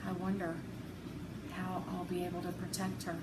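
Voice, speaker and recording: A woman narrating a children's novel expressively, with deliberate, dramatic phrasing. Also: lowish voice, monotone. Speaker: read-aloud narrator. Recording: roomy, noisy home recording.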